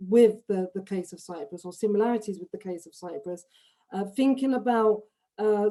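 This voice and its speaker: A woman on a panel over a video call, speaking in an academic way with many hesitant "uh" pauses. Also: medium pitch, dark tone, rough recording.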